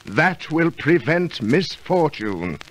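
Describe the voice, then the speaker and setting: Solemn higher-voiced ancient tribesman. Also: very animated, takes it slowly. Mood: solemn, relieved. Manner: formal, archaic, declamatory phrasing. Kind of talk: old-time radio drama acting. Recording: faintly noisy, clicky old radio recording.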